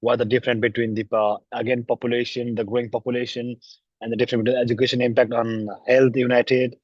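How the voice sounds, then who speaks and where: A young man from Nepal gives a recorded class presentation with a sore throat, talking in a halting way with many restarts and throat clearing. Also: medium pitch, level tone, no echo, highs cut off. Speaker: university student presenting.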